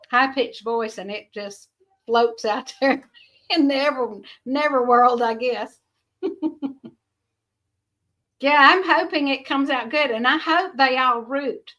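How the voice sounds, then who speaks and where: A middle-aged woman with a high-pitched voice, rambling chattily to viewers about her homestead, often laughing. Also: slow, long pauses, sharp tone. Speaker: homestead vlogger. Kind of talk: casual chat to viewers.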